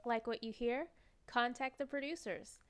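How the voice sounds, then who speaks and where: A woman's voice: on the high side, normal speed, loud music behind the voice. A woman who writes for radio drama, reading a scripted promotional announcement.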